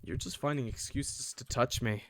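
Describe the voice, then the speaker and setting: A defiant visual novel captive, read by a man, sarcastic and goading. The voice sits at a medium pitch in a dry, close recording. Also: normal speed, fairly bright tone, no echo, lightly acted. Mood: taunting challenge. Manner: taunting, sarcastic. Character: defiant, sardonic, flirt-wary captive taunting an attacker.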